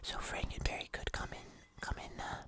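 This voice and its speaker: An ASMR host talking to viewers in a soft, unvoiced whisper, chatty, casual and unhurried, close to the microphone. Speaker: host of an ASMR eating video.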